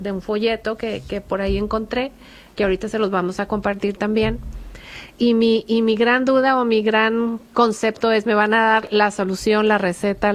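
A woman talks through her own family experience for a discussion panel. She speaks earnestly and haltingly, restarting phrases and filling pauses, at an ordinary middle pitch. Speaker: Al-Anon member sharing experience.

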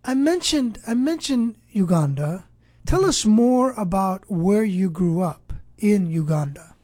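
A woman with a mellow, relaxed tone, recorded close and dry. She hosts a radio program, speaking earnestly and deliberately, with a preacherly way of phrasing things. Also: mid-range voice, no room sound. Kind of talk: scripted radio introduction and interview.

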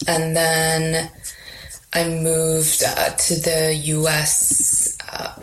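Slow-spoken low-voiced woman from Korea. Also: fairly bright tone, slight background noise, rough recording. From South Korea. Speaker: interview guest. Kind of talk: casual interview answer.

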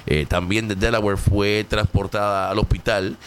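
A man's voice: fairly low pitch, little pitch movement, normal speed, a little hiss or hum. A Puerto Rican man hosting a talk show, reading local news in a chatty way, with many fillers and English words mixed in. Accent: Puerto Rican Spanish. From Puerto Rico.

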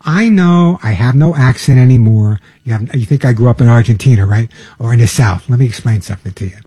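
A man's voice: medium pitch, brisk, mellow, breathy edge, no room sound. An older man from New York hosting a pet radio show, talking in a chatty, earnest way with repeated words. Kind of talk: casual talk radio monologue.

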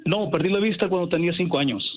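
Over a dull phone line comes a fairly high-voiced man with a Salvadoran accent. He is a caller to a radio show. He speaks politely and haltingly as he gives his personal account.

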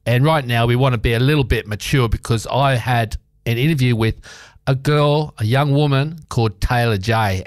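A man's voice: mid-range voice, no echo. Australian man, radio host, rambling casual delivery, dry close sound. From South Australia. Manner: rambling, chatty, with many fillers. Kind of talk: casual community radio chat.

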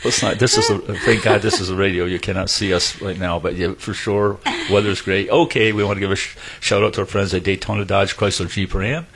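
A man's voice: low-pitched, steady tone, fairly quick, gravelly, few pauses, noisy background. An older man hosting a radio show, folksy and joking in casual chat. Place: radio studio.

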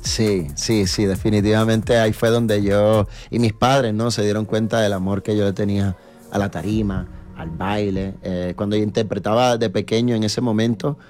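A man's voice: on the low side, steady tone, moderate pace, a little mellow, soft background music. A middle-aged man from New York, a reggaeton artist talking casually as an interview guest, easygoing and full of fillers. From New York, United States. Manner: easygoing, storytelling, many fillers.

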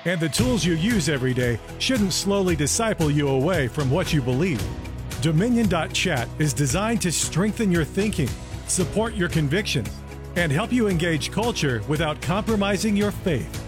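A man's voice: on the high side, animated, moderate pace. A man reading a scripted, persuasive advertisement as an announcer. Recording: clear music bed behind voice.

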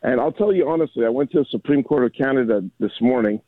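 A man's voice: mid-range voice, lively intonation, quick pace, dry recording. A man in his sixties calling in to a radio show from a coffee shop, rambling and repeating himself in a chummy way. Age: 60s. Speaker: caller. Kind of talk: rambling phone-in call. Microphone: phone. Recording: tinny dry phone line.

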